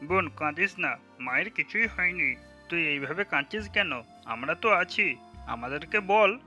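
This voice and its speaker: Eager little brother, cartoon, really sharp bright voice, earnest n caring. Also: male voice, fairly high pitch, animated, moderate pace, soft background music. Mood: consoling. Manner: earnest, coaxing. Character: caring, eager ten-year-old younger brother.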